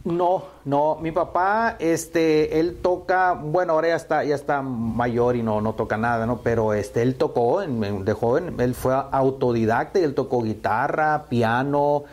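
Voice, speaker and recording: A man's voice: mid-range voice, unhurried, hardly any pauses, a little mellow. A Mexican man in his fifties, a musician answering interview questions in a hesitant way, full of fillers and repeated words. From Sonora, Mexico. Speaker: musician guest.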